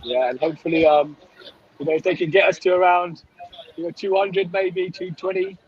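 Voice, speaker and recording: A man with a slightly hoarse voice chatting casually as a sports fan guest on a call, often checking in with "right?". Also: high-pitched, fairly even intonation, fairly quick. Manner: conversational, often tags phrases with "right?". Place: on a coach, remote call. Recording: dull lo-fi call audio.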